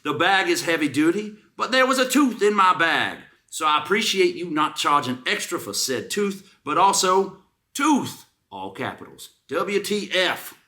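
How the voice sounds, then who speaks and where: A man's voice: high voice, sing-song, unhurried, pushed and bright. A man with a Southern US accent co-hosting a comedy show, mixing casual banter with theatrical, mock-serious readings of product reviews.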